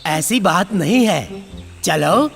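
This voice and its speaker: A high-pitched cartoon crocodile, acted by a man, henpecked and scheming. He talks slowly in a coaxing, theatrical way with big swings in pitch, over faint music. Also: expressive, creaky, full character voice. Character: scheming henpecked male crocodile, a false friend. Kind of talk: children's cartoon story acting.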